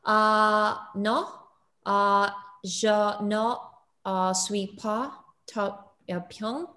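A woman student practicing a beginner French conversation on a video call, hesitating and pausing often. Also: mid-range voice, fairly even intonation, pushed and bright. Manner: hesitant, many pauses and fillers while practicing French.

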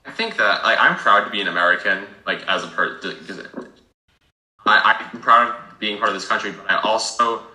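Teen boy, panelist, slightly low voice, bright, reflective and hedging, echoey room. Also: lively intonation, moderate pace. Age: teens. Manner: thoughtful, hedging with fillers like "like". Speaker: discussion participant. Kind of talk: casual group discussion.

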